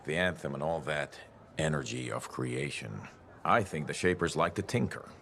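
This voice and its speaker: An actor playing a cocky, gruff mechanic freelancer in a video game, boastful, folksy and plain-spoken. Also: male voice, extremely low pitch, moderate pace, fairly bright tone, a little hiss or hum, full character voice. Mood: musing, wry. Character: cocky, gruff mechanic freelancer philosophizing about the world as machine. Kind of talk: video game dialogue acting.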